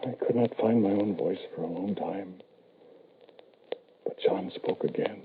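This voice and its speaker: An actor playing a grieving, doting middle-aged father in 1940s radio horror, dramatic and halting, on a tinny old recording. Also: low-pitched, background noise. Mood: haunted narrating. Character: grieving, desperate, doting father who summons his dead son.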